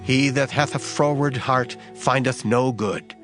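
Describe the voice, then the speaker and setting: A man narrating scripture in a dramatized King James style, with solemn, measured, emphatic delivery. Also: medium pitch, fairly even intonation, fairly bright tone. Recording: music under the voice. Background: background music.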